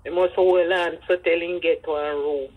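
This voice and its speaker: Husky older Jamaican woman. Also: fairly low pitch, fairly even intonation, normal speed, phone-quality sound. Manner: speaks in patois, acts out dialogue. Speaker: grandmother telling her life story. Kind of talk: personal storytelling.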